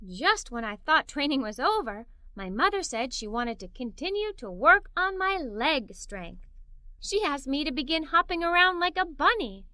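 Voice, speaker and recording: A woman narrating a children's story in an expressive, animated read-aloud style. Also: high-pitched, no room sound. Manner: expressive, performs the characters' dialogue.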